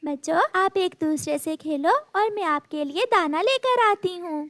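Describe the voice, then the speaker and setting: Woman as a caring, slow-talking mother bird. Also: extremely high pitch, fairly bright tone, no echo, heavily acted. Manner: soothing, instructive. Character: gentle mother bird, caring, patient, teaching her young.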